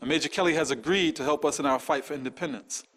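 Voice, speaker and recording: A man playing an earnest rebel militia leader in a video game, hurried and persuasive. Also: on the high side, fairly bright tone. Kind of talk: scripted video game cutscene acting.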